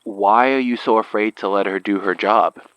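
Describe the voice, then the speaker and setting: A man as a low-voiced, terse detective. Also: steady tone, normal speed, fairly bright tone, smooth, no room sound, lightly acted, low-quality recording. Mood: hesitant. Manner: terse, blunt, understated. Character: wary, level-headed male detective with a gun. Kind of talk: horror audio drama acting.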